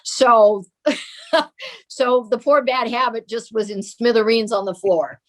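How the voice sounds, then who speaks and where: A woman's voice: a little high, slow. An older woman reminiscing casually as an interview guest.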